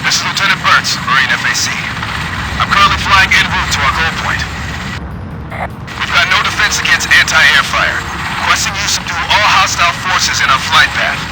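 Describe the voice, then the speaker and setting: A man's voice: high voice, moderate pace, heavy background noise, lightly acted. A man playing an earnest marine forward air controller, speaking urgently and formally in combat game radio chatter. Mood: urgent, determined. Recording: loud music over combat radio chatter.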